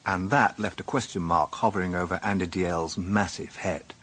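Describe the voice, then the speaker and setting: A man's voice: low voice, fairly even intonation, normal speed, fairly bright tone, breathy edge. A British actor playing a dry, sardonic police inspector who narrates his own case in a radio drama. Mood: wry, reflective.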